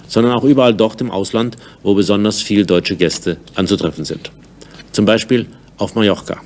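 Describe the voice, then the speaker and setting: A man's voice: low voice, a little mellow. A middle-aged man presenting and narrating a scripted consumer-warning programme in a formal, dryly ironic manner. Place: television studio.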